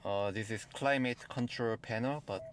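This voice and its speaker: A man with a Korean accent, whose first language is Korean, gives a car review walkthrough in plain, halting phrases. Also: medium pitch, background noise. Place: in and around a car.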